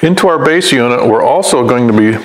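A man's voice: medium pitch, expressive, a little fast, slight background noise, poor audio. American man giving a practical hands-on tutorial. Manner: plain-spoken, practical, step-by-step. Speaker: host of a DIY vehicle install channel. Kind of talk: hands-on tutorial explanation, conversational.

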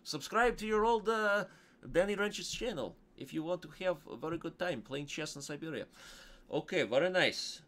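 A man's voice: a little high, lively intonation, normal speed, a bit bright, pitched above the performer's own voice. A man putting on a hammy, jolly old uncle with a mock Russian accent and broken English. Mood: hammy welcome.

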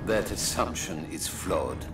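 Solemn mad scientist, formal, low with wide inflection, game soundtrack. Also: male voice, expressive, slow. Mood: cold, solemn. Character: cold, grieving male mad scientist villain. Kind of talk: video game voice acting. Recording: loud game music underneath.

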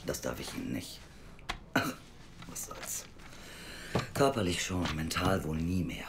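A woman's voice: rumbling low, sing-song, measured pace, vocal fry, background noise, heavily acted. An actress playing a blunt, sceptical, world-weary female youth welfare officer in an audio drama, speaking drily. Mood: weary, subdued. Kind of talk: naturalistic audio drama acting.

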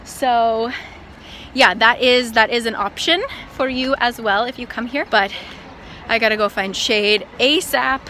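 Bright, high-voiced woman vlogging outdoors. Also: fairly even intonation, a little slow. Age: young adult. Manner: chatty, informal. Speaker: travel vlogger. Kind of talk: casual vlog chat to camera. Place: outdoors in an open park and parking lot. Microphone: phone at arm's length. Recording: outdoor noise, wind and traffic ambience.

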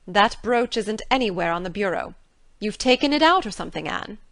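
A woman voicing a strict older female guardian with a sharp, scolding manner, read for an audiobook. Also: medium pitch, lively intonation, a little fast, no room sound. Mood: stern. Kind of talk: audiobook character reading.

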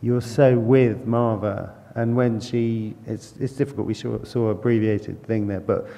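A man on a panel recalling a story with animated telling. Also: on the low side, measured pace. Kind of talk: panel discussion. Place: large hall at a panel table. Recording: reverberant hall.

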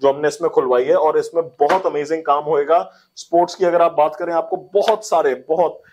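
Guy in his 30s doing a polite sales pitch in a shoe showroom, voice a bit high, lapel mic. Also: fairly even intonation, brisk, darkish tone. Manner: polite salesman patter, repeats selling points. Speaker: shoe factory representative. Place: shoe showroom and warehouse, indoors. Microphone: clip-on lapel mic.

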